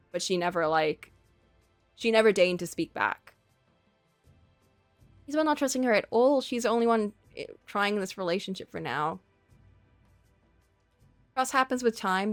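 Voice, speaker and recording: A woman's voice: on the low side, a bit bright, no echo. A woman streaming casual, opinionated commentary on a story game, thinking aloud in a conversational way.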